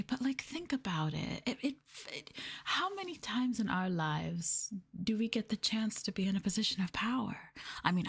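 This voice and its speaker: A woman talking in a soft, breathy voice that often drops to whispers, playful and teasing, chatting casually as an ASMR host close to the mic. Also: on the high side. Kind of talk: soft-spoken ASMR, casual chat with viewers. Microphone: sensitive mic up close.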